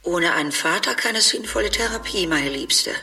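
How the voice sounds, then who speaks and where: A woman's voice: lowish voice, expressive, normal speed, pushed and bright, faint music behind. Wry woman in a German radio play.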